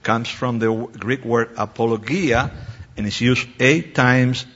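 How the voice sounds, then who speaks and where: Man, Spanish accent, native Spanish speaker, informal panel explainer, slightly husky, echoing hall. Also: mid-range voice, measured pace, a bit bright. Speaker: apologetics expert on a panel. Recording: dull muffled recording in reverberant hall.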